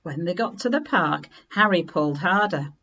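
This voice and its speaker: A woman reading a children's story aloud in an expressive, unhurried way with dramatic emphasis. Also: on the low side, lo-fi sound, slight background noise.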